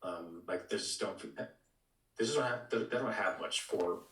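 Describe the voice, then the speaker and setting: A man chatting casually about video games as a podcast co-host, halting and tentative, often repeating his words. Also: deep voice, a bit bright. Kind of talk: casual video game discussion. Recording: roomy echo, distant from mic.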